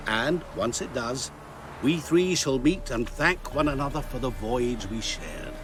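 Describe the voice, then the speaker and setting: An actor playing a courteous, cunning and mysterious dealmaker in a video game, speaking in eloquent, theatrical, formal phrases with deliberate pauses. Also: male voice, medium pitch, lively intonation, over music. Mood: silky persuasion.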